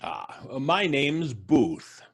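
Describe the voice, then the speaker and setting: An actor playing a calm, amused man who cheerfully expects to die soon, talking in an unhurried, cryptic way. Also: medium pitch, gravelly, slightly rough, exaggerated voice. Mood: serene. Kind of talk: live radio drama reading.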